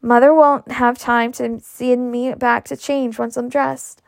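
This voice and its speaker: A spoiled young belle, cool and irritable, read by a woman. Her voice sits a little high and carries a gravelly edge in a dry recording. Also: little pitch movement, a little slow, no room sound. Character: spoiled, sharp-tongued young Southern belle.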